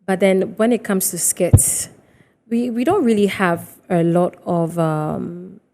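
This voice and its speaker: A woman's voice: on the low side, steady tone, slow, warm tone. A woman with a Ghanaian accent hosting a conversational TV interview in a reverberant room. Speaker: TV show host.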